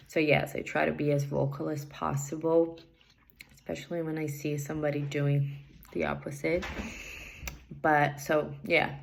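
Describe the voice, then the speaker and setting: Low, gravelly woman. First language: Portuguese. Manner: thoughtful, many fillers and hedges. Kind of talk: answering assignment questions, casual.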